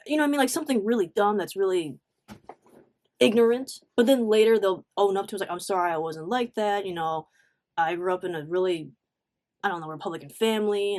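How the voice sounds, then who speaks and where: A woman giving a casual, confessional storytime as a vlogger, animated and emphatic, slangy, acting out what people said. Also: on the low side. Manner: animated, emphatic, acts out reported dialogue; informal slangy talk with swearing. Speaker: vlogger telling a personal story.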